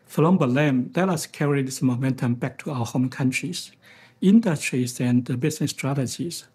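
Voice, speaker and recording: A man from Taiwan with a Taiwanese accent, a financial executive delivering a prepared, formal conference keynote in measured, deliberate phrases. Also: medium pitch, a little mellow, breathy edge.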